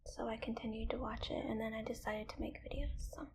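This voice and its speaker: Whispering ASMR woman with creaky edges. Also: fairly high pitch, level tone, a little fast. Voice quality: whispery, breathy soft voice. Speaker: ASMR video creator. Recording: clearly audible background noise.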